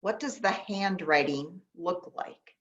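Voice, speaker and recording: A woman pastor leading a guided meditation in an unhurried, gentle manner with long pauses, speaking in short instructions. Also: fairly low pitch. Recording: dull, lo-fi sound.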